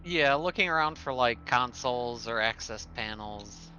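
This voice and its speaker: A man's voice: mid-range voice, animated, moderate pace, pushed and bright, lo-fi sound, a little hiss or hum. A man playing a tabletop roleplaying game, chatting casually and joking with the group.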